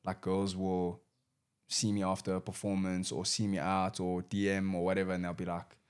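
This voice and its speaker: Laid-back young man, lowish voice. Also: monotone, smooth. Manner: laid-back, slangy, says "bro" often, quick laughs. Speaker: rapper and producer guest. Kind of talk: casual interview chat.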